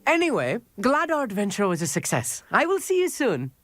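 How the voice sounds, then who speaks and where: A boastful but affable young student in a video game. He rambles on, pleased with himself, in a very animated voice. Faint music runs behind. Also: high voice, brisk, heavily acted. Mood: chatty, proud. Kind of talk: video game cutscene acting.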